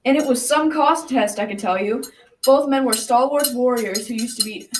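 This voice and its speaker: A woman's voice: on the high side, moderate pace, close to an everyday voice. A teenage girl narrating an old folktale as the storyteller of a school radio drama. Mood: telling. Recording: roomy, distant mic, faint noise.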